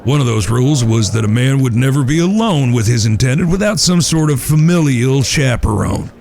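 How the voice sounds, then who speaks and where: A man's voice: mid-range voice, animated, normal speed, creaky, darkish tone. An actor playing a wry eldest cowboy brother in a Western audio drama, folksy and mock-formal in his talk. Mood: wry, teasing.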